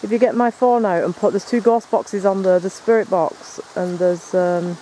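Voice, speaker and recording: A man talks in a chatty, rambling way, his voice pitched high yet mellow and soft-edged. He was recorded outdoors in rainy woods at night, with faint rain and a stream behind him. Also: steady tone, normal speed, slight background noise, poor audio. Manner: chatty, rambling, explains as he goes. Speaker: paranormal investigator filming. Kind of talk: casual on-location chat.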